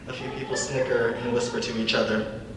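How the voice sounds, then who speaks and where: A man's voice: medium pitch, level tone, a little fast, faint music behind. A young man reading stage directions as scripted narration for a dramatic reading. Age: young adult. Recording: echoey hall from far off, noisy.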